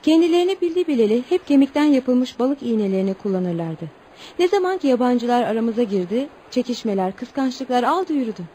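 Wistful young tribeswoman, played by an actress, high voice, formal storytelling delivery, radio play with light background noise. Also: expressive, brisk, warm tone, lightly acted. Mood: troubled, earnest. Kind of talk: radio theatre acting.

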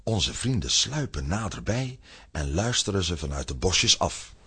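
A man narrating a children's adventure story from a script in a husky voice with dramatic delivery. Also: sits low, very animated, measured pace, noisy background.

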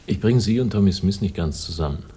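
An actor playing a world-weary, dry-witted investigator in a 1960s German radio crime drama, speaking in a laconic, sardonic way. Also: male voice, low-pitched, fast talker, dark tone, a little hiss or hum, close to an everyday voice. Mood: dry, concerned. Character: world-weary, dry-witted male investigator narrating his own case.

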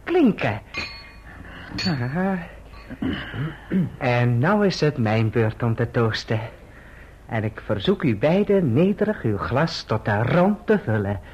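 An actor in a radio play as a scheming clerk, falsely humble, insistent and brazenly triumphant, with a husky voice. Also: male voice, medium pitch, big pitch swings, slow pace, pauses often, darkish tone, a little hiss or hum. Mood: gloating, oily. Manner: oily, mock-deferential, insistent. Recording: muffled old radio play recording.

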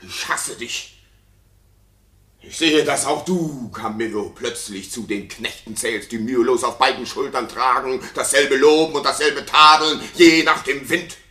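A man's voice: mid-range voice, measured pace. An actor playing a jealous, suspicious king in a classical radio play, declaiming theatrical verse with intensity. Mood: bitter, accusing. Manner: intense, declamatory, swinging from hushed to frenzied. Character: jealous, suspicious king raging at his supposedly unfaithful wife. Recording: roomy studio reverb.

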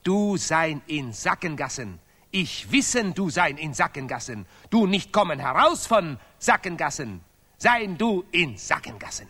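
A vain, pompous artist declaims in a stylized radio play. His voice is high and bright, with big swings of pitch. He hammers out broken, repeated phrases with great self-importance. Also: sing-song, measured pace, husky, heavily acted. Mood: accusing. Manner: declamatory, emphatic, chanting broken German with repetitions. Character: pompous male Nobel laureate artist, vain and touchy. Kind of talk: stylized avant-garde radio play acting.

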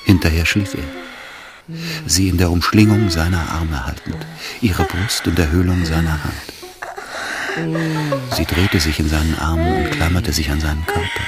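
Deep-voiced male literary narrator over music. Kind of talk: scripted literary narration in radio drama. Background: loud music.

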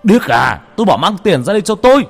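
Guy playing frantic angry dad yelling, voice pushed up high, horror audiobook w music. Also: sing-song, quick pace, vocal fry, slightly rough, big-room sound, full character voice. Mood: furious, roaring. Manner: roaring, cursing. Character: enraged father, loud, desperate and domineering.

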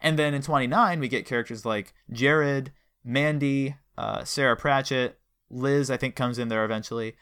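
A man's voice: mid-range voice, steady tone, moderate pace, a bit bright, smooth. A man hosting a casual interview, talking in a chatty, detailed and analytical way as one fan to another. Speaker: podcast host interviewing a guest.

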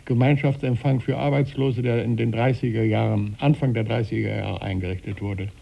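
An eighty-year-old man recalling his life deliberately and precisely as a studio interview guest. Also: mid-range voice, fairly even intonation, creaky, warm tone. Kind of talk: reminiscing in an interview. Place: radio studio.

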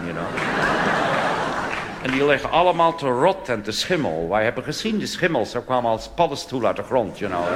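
A man's voice: medium pitch, long reverb tail, heavy background noise, natural voice. A man playing a smug, boastful American male tourist who speaks broken Dutch full of English phrases and then croons a cabaret song. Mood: smug disdain. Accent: American-flavoured Dutch with English phrases. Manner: broken grammar, drawling, self-satisfied. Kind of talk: cabaret character monologue and song.

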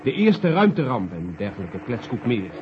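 A male actor plays an insistent space official in a vintage radio drama. His mid-pitched voice swings widely and emphatically. The recording is muffled and clearly noisy. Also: very animated, moderate pace, natural voice. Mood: urgent. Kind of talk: 1970 Dutch radio drama acting.

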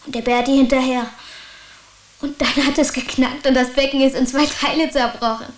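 A woman's voice: sits high, steady tone, moderate pace, roomy sound, slight background noise, close to an everyday voice. A woman playing a troubled but plucky schoolboy in a German radio drama, talking in a colloquial, matter-of-fact way. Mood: sheepish.

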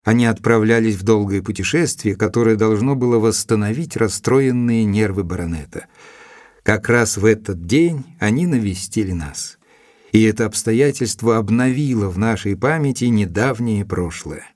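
An actor playing a detective's loyal companion, narrating his memoirs in a calm, measured, reflective way for an audio drama. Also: fairly low pitch, dry recording, studio quality, close to an everyday voice. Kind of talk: audio drama, scripted first-person narration.

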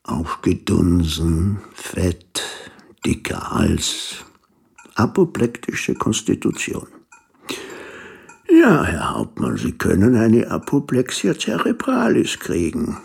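An actor playing a pompous, coldly gleeful doctor in a radio play, husky and declamatory, with clinical Latin-laden talk. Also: male voice, deep voice, wildly swooping pitch, takes it slowly, darkish tone, exaggerated voice. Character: pompous, gleefully cold male doctor obsessed with his theories. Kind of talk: German-language radio play acting.

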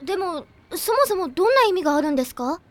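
A woman's voice: extremely high pitch, very animated, fast, dry recording. A woman voicing a timid, earnest teenage girl team manager who speaks nervously and politely in an anime drama CD.

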